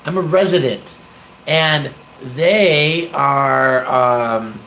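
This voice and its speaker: Slow, halting American man livestreaming. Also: mid-range voice, fairly bright tone, a little hiss or hum, poor audio. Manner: hesitant, pausing, halting. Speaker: livestream host, activist. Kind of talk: rambling casual livestream talk.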